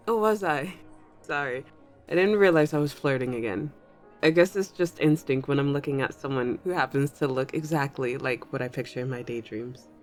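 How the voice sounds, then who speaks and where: A woman voicing a flirtatious thunder fae in an intimate audio roleplay, teasing and coy as she addresses the listener. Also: deep voice. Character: flirtatious thunder fae at a New Year's party, smooth charmer. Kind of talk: ASMR audio roleplay, intimate one-sided dialogue.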